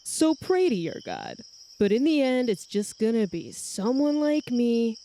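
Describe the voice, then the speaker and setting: Wry, expressive woman at the table. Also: a little high, unhurried, slight background noise. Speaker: player. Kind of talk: in-character tabletop play.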